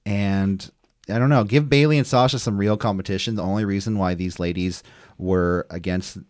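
A man with a lowish voice talks through a match recap in a relaxed, chatty way, leaning on his notes and filling pauses with ums and uhs. The sound is close and dry, with no room around it. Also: no room sound. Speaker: podcast co-host recapping wrestling. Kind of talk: casual chat, play-by-play recap.

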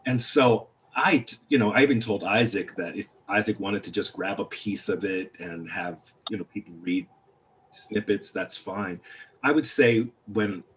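Halting, reflective man on a video call. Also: lowish voice, fairly bright tone, a little hiss or hum. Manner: halting, reflective, many restarts. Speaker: playwright. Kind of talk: panel discussion over video call.

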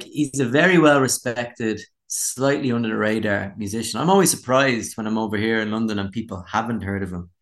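An Irish man speaking as a musician in a casual interview, candid and reflective. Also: mid-range voice. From Ireland.

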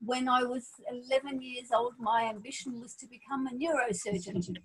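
A woman's voice: on the high side, fairly even intonation, moderate pace, breathy edge, poor audio. A woman in her forties who was born in Scotland and grew up in Australia, speaking as an interview guest, thoughtful, with frequent ums and restarts. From Scotland, raised in Australia. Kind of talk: casual interview conversation.

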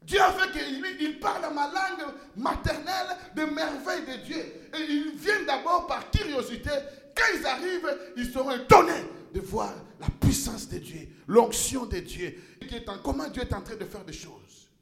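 A male pastor preaching a sermon in a church, impassioned, rising to shouts and stumbling over repeated words. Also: very high voice, moderate pace, vocal fry, hardly any pauses, echoey room.

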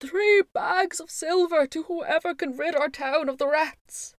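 A woman's voice: medium pitch, very animated, normal speed, no echo, studio quality, natural voice. A woman voicing a pompous, selfish male Lord Mayor in a storybook tale, blustering and exclamatory. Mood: panicked. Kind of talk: storybook character voice.

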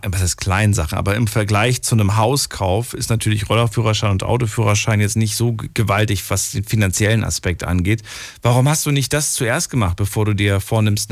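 A man hosts a late-night call-in radio show from a studio. He questions his callers calmly and with curiosity. His voice is heard up close and dry. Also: on the low side, dry recording. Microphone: studio microphone.

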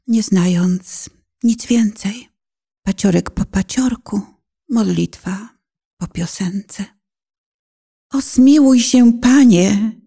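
A woman's voice: mid-range voice, dark tone. A woman performing a penitent lost soul who prays fervently and solemnly in a poetic radio play. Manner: fervent, pleading, solemn, sometimes dropping to whispers. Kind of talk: dramatic poetic recitation, prayer.

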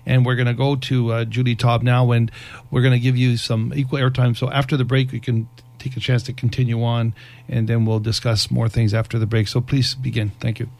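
Brisk fast-talking man hosting radio. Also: mid-range voice, flat delivery, gravelly, a little mellow. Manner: brisk, friendly, quick to thank. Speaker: radio show host. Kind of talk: radio talk show hosting.

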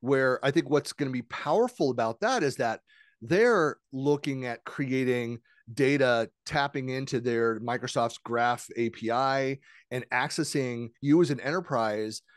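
A man of middle pitch hosting a podcast. He talks loosely and rambles, often restarting his sentences. The sound is dry and close, with no room echo. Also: measured pace. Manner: chatty, rambling, many restarts. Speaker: podcast host. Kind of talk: podcast interview, conversational.

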